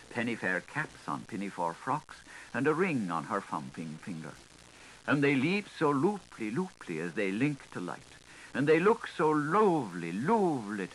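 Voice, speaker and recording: Male literary narrator, theatrical and highly varied intonation, singsong phrasing, faint hiss of a 1980s recording. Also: fairly bright tone, poor audio. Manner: theatrical, lilting, singsong delivery. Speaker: audiobook narrator. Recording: hissy 1980s audiobook recording.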